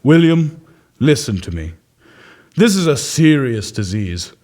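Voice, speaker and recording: Male actor as physician for audio comedy: grave, measured and slow, deadpan, with swooping intonation. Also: medium pitch. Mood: solemn, grave. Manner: solemn, deliberate, deadpan. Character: grave male doctor delivering absurd bad news. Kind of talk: comedy audio sketch acting.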